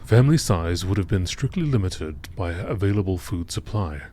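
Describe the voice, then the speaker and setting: A man reading scripted narration in a nature documentary style, in a measured, formal manner. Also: low voice, lively intonation, dark tone, a little hiss or hum. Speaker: narrator reading game text.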